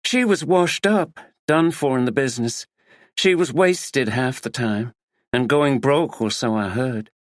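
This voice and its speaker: A man's voice: mid-range voice, unhurried, dry recording, clean studio sound, voice pushed up, lightly acted. A man putting on a vain, perky American actress with a drawl. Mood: dismissive. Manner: breezy, dismissive, fussy.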